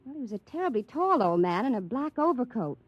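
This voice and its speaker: An actress playing a sweet, not very bright young secretary in a 1950s radio comedy drama. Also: female voice, on the high side, sing-song, a little fast, dry recording, highs cut off. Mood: chatty, wondering.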